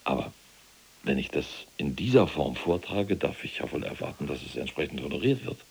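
An actor playing a cold, calculating SS general awaiting trial in a radio drama, speaking in a deliberate, formal, self-serving manner with a gravelly, husky voice. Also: male voice, rumbling low, sing-song, darkish tone, heavily acted.

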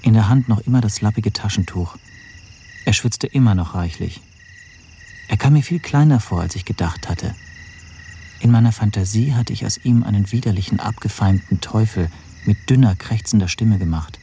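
A man plays a bitter, weary man in his thirties narrating a radio drama. His voice is fairly low and soft-edged, darkly mellow. A faint noise sits behind it. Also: normal speed, close to an everyday voice. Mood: reflective. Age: 30s. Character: bitter, weary young stateless man recounting his arrest. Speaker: first-person narrator and lead.

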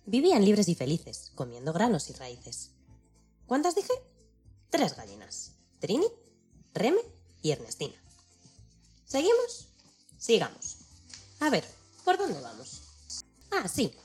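A woman with a husky voice narrating a rhyming children's tale in a playful, declamatory, theatrical way. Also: mid-range voice, huge pitch swings, slow, pauses often, darkish tone, faint music behind. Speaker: storyteller.

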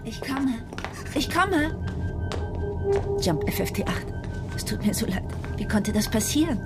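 A woman's voice: extremely high pitch, wildly swooping pitch, measured pace, loud music behind the voice, exaggerated voice. A woman playing a servile, eager and apologetic airship stewardess in a radio play, polite and flustered. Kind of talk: German radio play dialogue.

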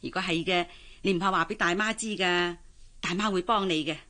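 An actress with a Hong Kong Cantonese accent playing a pushy, traditional middle-aged auntie in a radio drama, coaxing and exclaiming. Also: female voice, on the low side, brisk, pushed and bright. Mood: probing. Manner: coaxing, exclaiming, sighing interjections. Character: pushy, traditional older auntie urging a girl to marry.

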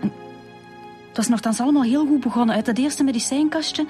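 A young woman with a Flemish accent playing an earnest student in a radio drama, speaking haltingly. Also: sits high, mellow, close to an everyday voice. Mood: remorseful. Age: young adult. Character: earnest young female student confessing to police. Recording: music behind the voice.

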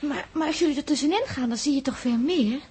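Female, actress as uneasy young space traveler, high-pitched, questioning, vintage sci-fi radio play. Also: fast, darkish tone, close to an everyday voice. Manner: questioning, easily startled. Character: wide-eyed, uneasy young woman aboard spaceship. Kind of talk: 1960s Dutch science fiction radio drama acting.

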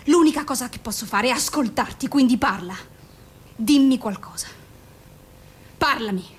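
Grave, high-voiced female doctor. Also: husky. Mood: urgent, exasperated. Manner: measured, insistent, bluntly direct. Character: grave, firm female forensic doctor, urgent and controlled. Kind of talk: Italian radio play acting.

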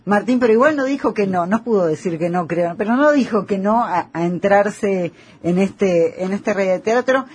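A man's voice: high voice, moderate pace, slight background noise. A man with an Argentine accent hosting a conversational radio interview. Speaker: radio host.

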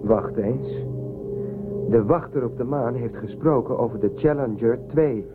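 A man's voice: mid-range voice, measured pace, lightly acted. A man in a radio play acting a sober, sceptical and blunt spaceship crewman. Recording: tinny phone-like narrowband audio. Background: music.

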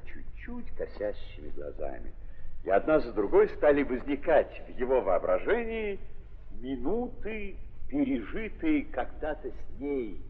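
Old 1930s recording of a guy doing dramatic narration, super animated, big hall reverb, muffled. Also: fairly high pitch. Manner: expressive, deliberate, with dramatic pauses and repeated words. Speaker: narrator, stage actor.

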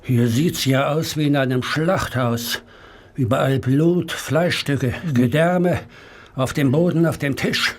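An actor in a German radio play voicing an aghast Victorian police inspector with a husky, deliberate delivery. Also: male voice, mid-range voice. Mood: appalled. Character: horrified Victorian police inspector surveying a scene.

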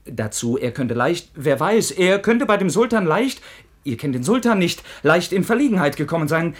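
Young knight, husky, wildly varying pitch, agitated, halting, radio-drama acting. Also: male voice, sing-song. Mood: urgent, alarmed. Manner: urgent, halting, repeats himself. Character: agitated, lovestruck young knight, proud and impulsive.

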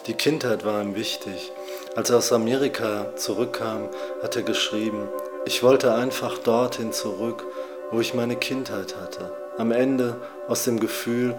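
A man narrating a scripted radio feature in a calm, measured reading manner. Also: medium pitch. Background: music.

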